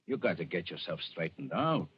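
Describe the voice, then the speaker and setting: An actor playing a firm, businesslike American gang boss in 1940s radio style, blunt and authoritative. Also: male voice, deep voice, very animated, normal speed, breathy edge, heavily acted. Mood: stern. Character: firm, businesslike male gang boss, stern but placating. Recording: dull, noisy old radio recording.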